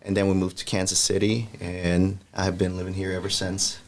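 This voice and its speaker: A young man from El Salvador answers interview questions in a plain, measured way with a lowish voice. He pauses now and then with fillers. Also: monotone, slight background noise. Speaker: guest.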